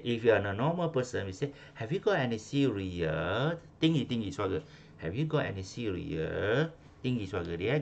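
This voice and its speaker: A man's voice: on the low side, vocal fry, slight background noise. A man with a Malaysian accent teaching an online English class, repeating phrases and correcting stress, switching into Malay. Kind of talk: online class coaching pronunciation.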